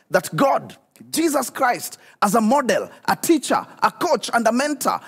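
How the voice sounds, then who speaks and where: Pastor, middle-aged man, animated direct-address sermon, reverberant hall sound. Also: extremely high pitch. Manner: animated, addresses viewers directly, repeats key questions. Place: church stage studio, indoors.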